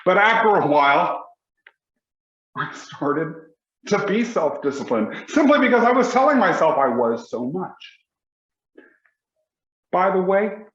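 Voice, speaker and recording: A man, giving a motivational speech with emphatic, deliberate pauses. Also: sits high, fairly bright tone, roomy sound, dull audio. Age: 40s. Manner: emphatic, slow deliberate delivery with dramatic pauses. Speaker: motivational speaker.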